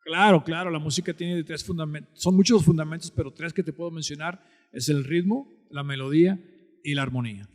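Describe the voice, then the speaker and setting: A man's voice: a little high, fairly quick, darkish tone. A man from the Texas border with a Mexican-American Spanish accent, explaining conversationally as an interview guest and band director. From Texas-Mexico border, United States. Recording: long hall-like reverb.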